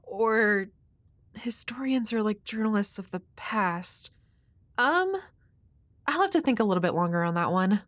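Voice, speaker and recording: A woman's voice: mid-range voice, animated, unhurried, pauses often, dry recording, close to an everyday voice. A woman playing a shy, awkward, nerdy young woman next door in an audio roleplay, hesitant and rambling with a nervously apologetic manner. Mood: shyly eager. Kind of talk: intimate audio roleplay addressed to the listener.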